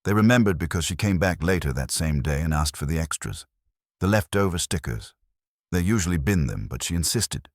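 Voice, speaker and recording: Man acting a deep-voiced, deferential police sergeant. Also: lively intonation, brisk, no echo, studio quality. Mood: matter-of-fact. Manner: polite, slightly hesitant. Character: deferential, earnest male police sergeant reporting to his boss.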